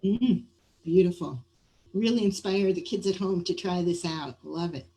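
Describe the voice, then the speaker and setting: A woman's voice: fairly low pitch, normal speed, warm tone, rough recording. A woman casually hosting an art session, prompting and affirming in an encouraging way. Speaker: host of a children's art session.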